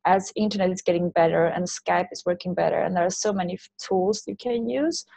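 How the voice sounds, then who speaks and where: A woman's voice: on the low side, fairly quick, vocal fry, warm tone, dry recording. A woman from Sweden, speaking loosely phrased second-language English as a guest in a casual interview. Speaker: interview guest, online language teacher and entrepreneur.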